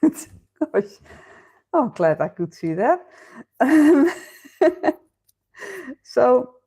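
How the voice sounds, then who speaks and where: A woman's voice: sits high, sing-song, drawn-out words, dark tone, breathy edge. A woman with a Dutch accent hosting a casual live chat from home, reading out viewer comments and chatting with many fillers and laughs. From Netherlands. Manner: chatty, laughs often, thinks aloud with fillers. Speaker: livestream host.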